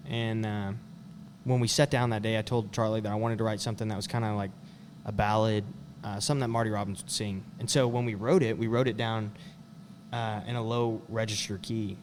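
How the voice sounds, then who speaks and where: A young singer-songwriter from answers questions in an easygoing, filler-dotted way. His voice sits a bit low. Faint background noise hangs under his talk. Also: little pitch movement, a little fast. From West Texas. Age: young adult. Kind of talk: casual interview answers.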